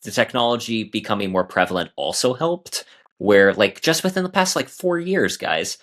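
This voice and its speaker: A man's voice: on the low side, lively intonation, normal speed, a bit bright. A man talking casually as a guest author in a podcast interview about his writing.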